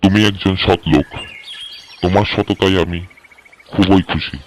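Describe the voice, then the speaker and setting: Man playing a deep-voiced, stately village landlord. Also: fairly even intonation, measured pace, slight background noise. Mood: pleased, generous. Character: generous, approving village landlord, a man of authority. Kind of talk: cartoon story dialogue.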